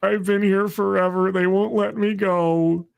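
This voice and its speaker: A man voicing a bored, rotting ancient vampire god who complains in a drawn-out, resigned way while comically retelling a story. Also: high-pitched, fairly even intonation, gravelly, smooth, voice pushed up. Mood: weary, whiny.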